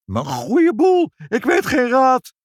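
A man's voice: mid-range voice, huge pitch swings, unhurried, no room sound, studio quality. A man voicing an indignant, doting lady who protests emphatically in a children's story. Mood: distraught. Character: indignant, doting mother, a proper lady in denial.

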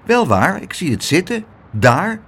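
A young boy from a children's storybook, voiced by a man reading aloud. He sounds polite and earnest, with a lifted pitch. His coaxing phrases rise and fall a great deal. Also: big pitch swings, unhurried, fairly bright tone, breathy edge, exaggerated voice. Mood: patient, insistent. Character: young boy hero, polite, patient, eager.

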